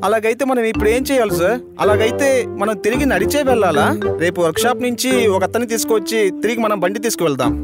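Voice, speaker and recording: A man's voice: high voice, animated, fast. A man voicing a fussy, easily panicked driver in a dubbed cartoon, always calling his boss sir. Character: jumpy talkative male driver. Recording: music bed under dialogue.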